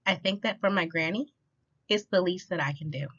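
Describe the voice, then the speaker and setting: A woman reading a children's rhyming picture book aloud in an expressive, clearly paced way, as a storytime reader. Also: lowish voice, normal speed. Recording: dull lo-fi sound.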